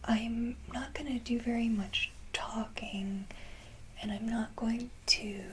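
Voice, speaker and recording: A woman whispering breathily in a casual ASMR style, speaking to viewers in a gentle, halting manner. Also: a little high, steady tone. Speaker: ASMR video creator. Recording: clearly noisy, hissy background.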